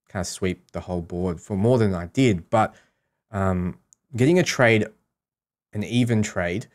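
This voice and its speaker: A man giving casual, explanatory commentary on a game, talking through his strategy as a streamer. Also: on the low side.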